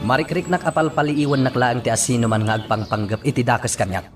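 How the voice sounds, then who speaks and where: A man's voice: mid-range voice, fast, over music, natural voice. A man playing a resolute, defiant hero speaking in a radio drama monologue. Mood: determined. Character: resolute man defying evildoers who threaten his town.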